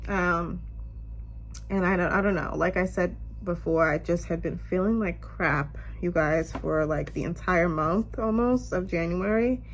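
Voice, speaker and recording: A woman's voice: fairly low pitch, unhurried, slight background noise, poor audio. A young woman with a stuffy, slightly nasal voice tells a casual story time to her camera at home, with lots of fillers. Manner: chatty, lots of "um" and "you guys". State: congested, a little nasal. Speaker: vlogger. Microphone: camera on tripod.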